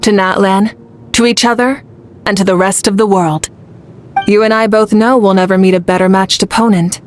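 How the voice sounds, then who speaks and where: A woman voicing a confident female fire goddess and warrior who speaks calmly and with assurance in a video game. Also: mid-range voice, normal speed, slight background noise, close to an everyday voice. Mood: resolute. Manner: calm, assured. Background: game music.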